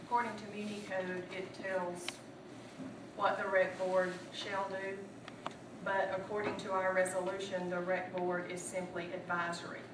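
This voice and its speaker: A woman's voice: medium pitch, level tone, normal speed. A woman on a city council asking probing, persistent questions at a public meeting, heard from a desk microphone through a distant camera. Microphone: desk microphone, far camera. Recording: echoey room, distant mic, background hum.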